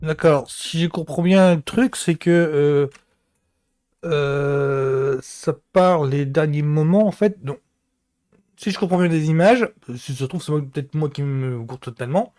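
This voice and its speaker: A man giving a casual solo reaction to a film trailer, thinking aloud with many pauses and restarts. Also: on the high side, darkish tone.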